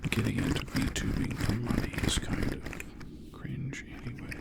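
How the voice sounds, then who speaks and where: Guy doing asmr soft talk, whispery n crackly, slow casual rambling. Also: mid-range voice, fairly even intonation, vocal fry. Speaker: ASMR streamer, host.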